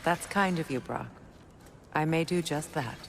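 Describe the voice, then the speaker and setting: An actress playing a proud, guarded middle-aged goddess in a video game, speaking in a measured, formal way. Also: female voice, low voice, slight background noise. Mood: composed. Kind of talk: video game character acting.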